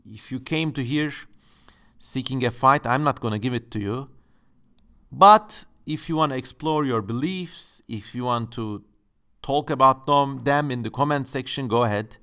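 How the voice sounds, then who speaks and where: A man speaking English hosts his channel from home, his voice sitting at an ordinary middle pitch. He muses along casually and playfully, with a tongue-in-cheek, joking manner. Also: moderate pace, sharp tone, clean tone. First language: Turkish. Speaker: channel host. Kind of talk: casual exploratory commentary.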